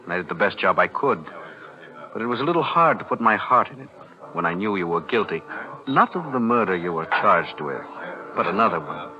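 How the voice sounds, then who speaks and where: An actor playing a shrewd, composed defense attorney, measured and insinuating, in 1940s radio thriller style. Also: male voice, low-pitched, expressive, soft background music. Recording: dull vintage radio recording.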